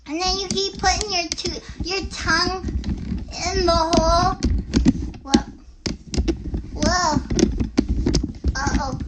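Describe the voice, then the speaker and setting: A woman voicing an excitable, easily distracted little girl puppet who hosts a children's show, chattering haltingly and interrupting herself. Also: very high voice, heavy background noise. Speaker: puppet host.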